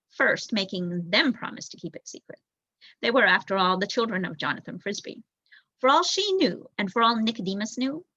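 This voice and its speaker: A young woman reading a children's story aloud in an expressive narrating style. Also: mid-range voice, dry recording, dull audio. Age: young adult. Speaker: volunteer audiobook reader.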